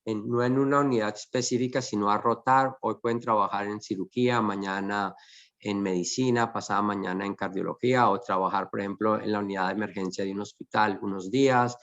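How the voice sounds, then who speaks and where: Low, gravelly man. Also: fairly bright tone, lo-fi sound. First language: Spanish. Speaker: host. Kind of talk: conversational webinar interview.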